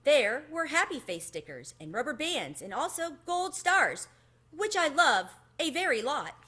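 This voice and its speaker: A woman reading a children's book aloud expressively, in an animated storytelling manner. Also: sits high, unhurried. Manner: animated, acts out the dialogue.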